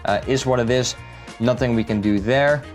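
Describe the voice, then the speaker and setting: A man's voice: mid-range voice, normal speed, faint music behind. A man from the Netherlands, talking through a car build in a casual, matter-of-fact vlog style as a salesman would.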